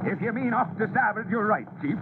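A stubborn, salty tugboat captain in an old radio drama. The voice is high for a man and gruff in manner, barking like a seaman. It comes through thin and tinny under heavy noise. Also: lively intonation, a little fast. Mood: stubborn, confident. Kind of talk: old-time radio acting.

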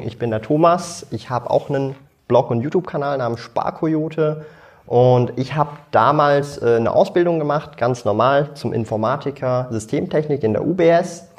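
A man's voice: mid-range voice, a little mellow. A man from Switzerland with a Swiss Standard German accent, chatting casually about himself as a panelist. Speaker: panelist, finance blogger.

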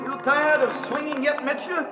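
A man's voice: extremely high pitch, little pitch movement, moderate pace, music in the background. A male actor playing a treacherous, coolly menacing mountain guide in a 1950s radio drama, speaking in stiff, formal phrases. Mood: gloating menace. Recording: noisy, echoey, muffled vintage radio recording.

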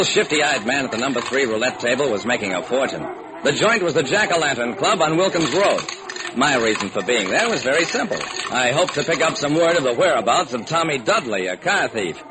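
American guy doing old time radio detective narration, really animated, theatrical, noisy old recording. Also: medium pitch. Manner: hard-boiled, theatrical storytelling. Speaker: narrator and solo performer of a detective radio series.

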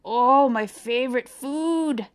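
A woman voicing a big, gullible storybook monster, speaking in a slow, emphatic, jovial manner. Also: sits high, smooth, voice pushed up. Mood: greedy. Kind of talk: children's storybook character voice.

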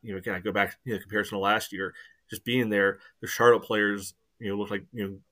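A man with a low voice talks through his soccer views in a casual podcast chat. He rambles, leaning on "you know" between nearly every phrase. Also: flat delivery, fast, fairly bright tone.

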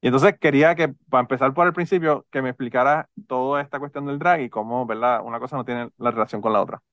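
A man's voice: mid-range voice, fast, fairly bright tone, no room sound. A man with a Puerto Rican Spanish accent hosting a podcast in a casual, chatty, rambling conversational style. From Puerto Rico. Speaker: podcast host.

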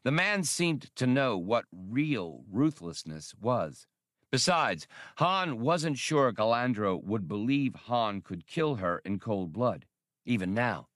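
A man's voice: medium pitch, sing-song, slow pace, a bit bright, dry recording, studio quality. A man narrating a scripted adventure story as an audiobook reader.

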